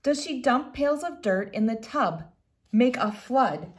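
A woman in her thirties reading a picture book aloud in an expressive storytelling manner for young children. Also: fairly high pitch, takes it slowly, slight background noise. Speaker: children's book reader and host. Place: living room at home, indoors.

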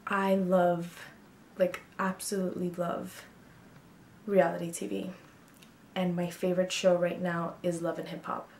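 A woman's voice: lowish voice, flat delivery, a little slow, gravelly, darkish tone, echoey room, a little hiss or hum. A woman of twenty-two chatting frankly to camera about herself in a casual get-to-know-me video, with lots of ums and likes. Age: 20s. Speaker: beauty vlogger. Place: small bedroom, indoors.

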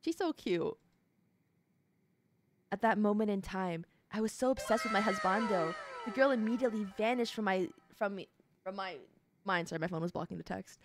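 A woman's voice: medium pitch, normal speed, background noise. A tired woman hosting a livestream, chatting casually and reading a visual novel aloud, pleading and exasperated. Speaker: streamer host. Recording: music playing behind the voice.

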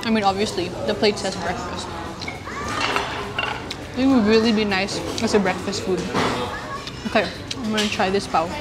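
Chatty young woman vlogging over loud music. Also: medium pitch, steady tone, normal speed, pauses often. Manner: chatty, upbeat, tastes food on camera. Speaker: food vlogger host. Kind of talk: casual food review vlog. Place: small cafe indoors and street outdoors. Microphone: lapel mic.

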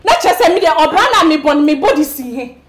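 High-voiced, distressed Ghanaian woman. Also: expressive, fast talker, background noise. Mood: angry, vengeful. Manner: exasperated, code-switching, complaining. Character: distressed, vengeful woman wronged by her boyfriend. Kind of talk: emotional film drama dialogue in Twi and English.

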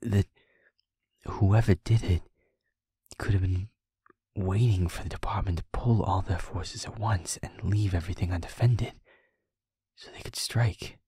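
Give this one to a feisty young werewolf boyfriend, acted by a man, gruff and shaken. His low voice crackles with fry and drops into breathy murmurs. He speaks haltingly and bluntly, as in an intimate audio drama, very close and dry. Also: fairly even intonation, dark tone, no room sound, full character voice. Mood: troubled, uneasy. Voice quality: gravelly, husky, breathy half-whisper. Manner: halting, muttering, blunt swearing. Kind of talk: intimate audio roleplay acting.

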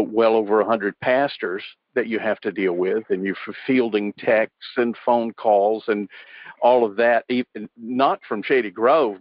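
Church radio host asking plain questions, lowish voice, muffled lo-fi sound. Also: male voice, no echo. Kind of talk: radio interview. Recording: dull lo-fi sound.

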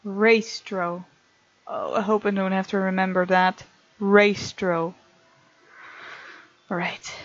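A woman hosting a let's play, reading game text aloud with playful, expressive asides. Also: mid-range voice, takes it slowly, long pauses, no room sound, highs cut off. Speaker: gaming video host.

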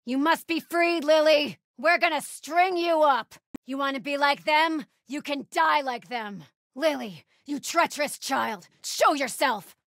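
An actress voicing a fierce, zealous cult warrior in a video game, barking urgent, hostile commands. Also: female voice, high voice, unhurried, hardly any pauses, a bit bright, dry recording, studio quality. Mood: menacing. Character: fierce, zealous female cult warrior hunting her enemies. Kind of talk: video game combat barks.

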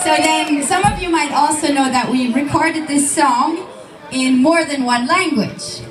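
A woman with a Swiss German accent talking to an audience from a concert stage, shouting her words out to the crowd. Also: high voice, measured pace. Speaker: band singer addressing the crowd. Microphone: stage vocal mic through PA, filmed from the crowd. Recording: far-off echoey phone concert recording, loud crowd.

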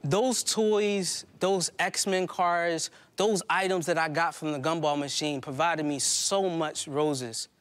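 Higher-pitched man telling stories on stage. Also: a bit bright. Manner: conversational, halting, prone to chuckling. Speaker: poet and storyteller on stage. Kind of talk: live autobiographical monologue. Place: indoor community hall stage before a small audience.

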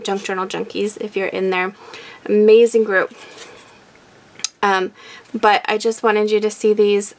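A woman hosting a casual craft unboxing, chatty and excitable, her voice raspy from a recent illness. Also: mid-range voice. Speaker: craft channel host. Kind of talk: casual product unboxing chat.

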